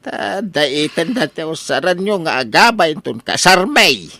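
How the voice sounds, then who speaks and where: A man's voice: a little high, big pitch swings, unhurried, gravelly, sharp tone, no room sound, clean studio sound, heavily acted. A man with a Filipino accent playing a stubborn, blustering old father in a comedy radio drama, full of exclamations. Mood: cheerful, bossy. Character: excitable, stubborn old father smitten with a young bride.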